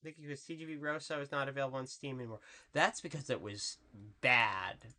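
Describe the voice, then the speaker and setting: Deep-voiced goth woman streaming. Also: a little fast, pushed and bright. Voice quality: really deep voice. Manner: rambling, profane, chatting with viewers. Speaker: VTuber streamer. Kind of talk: casual live game stream commentary.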